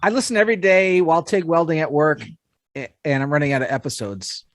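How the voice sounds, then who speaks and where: Higher-pitched New Jersey man, dry recording. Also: fast, dry recording. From New Jersey, USA. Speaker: podcast panelist. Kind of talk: casual podcast chat and reading aloud.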